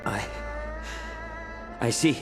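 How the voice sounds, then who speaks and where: An actor playing a devoted, gentle fox-folk healer in a video game, measured and earnest, in a long reverberant echo. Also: male voice, music in the background. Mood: worried. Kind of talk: dramatic video game acting.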